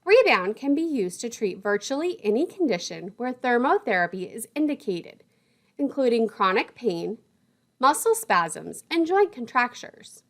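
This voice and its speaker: A woman's voice: a little high, very animated, measured pace. A woman lecturer reading scripted instructional narration in a formal, precise manner.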